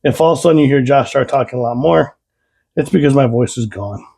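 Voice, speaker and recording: A man narrates his tabletop game turn in a casual way, calling out moves and dice results. His voice is fading and hoarse, with a gravelly rasp and a dark, mellow tone. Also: medium pitch, fast talker. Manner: calls out moves and dice rolls plainly. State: losing his voice. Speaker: host.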